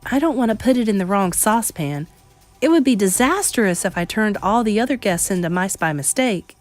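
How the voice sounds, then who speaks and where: A woman's voice: mid-range voice, expressive, fairly quick, no room sound, faint music behind, natural voice. A woman reading aloud, voicing a clever young boy who has been turned into a mouse, speaking eagerly.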